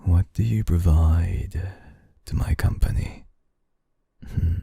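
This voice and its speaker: A man playing a suave, dominant, flirtatious CEO in an intimate ASMR roleplay, speaking in a teasing, deliberate way. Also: vocal fry, mellow, husky, no echo, clean studio sound, exaggerated voice. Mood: teasing, controlling. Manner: slow, teasing, deliberate, with low chuckles. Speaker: ASMR voice actor.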